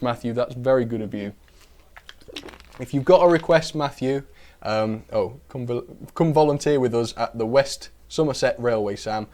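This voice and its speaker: A man hosting a casual live stream, chatty and self-deprecating, his voice a little rough from a cold. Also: medium pitch, darkish tone. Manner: chatty, self-deprecating, invites viewers to correct him. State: a bit of a cold, voice recovering.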